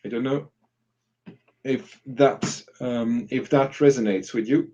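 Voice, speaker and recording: A mellow-voiced man talks through workshop advice in an informal online session. He explains step by step and voices example questions as he goes. The audio is slightly dull and lo-fi, like an online call. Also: medium pitch, fairly even intonation, takes it slowly. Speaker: workshop facilitator giving advice. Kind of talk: informal live online discussion.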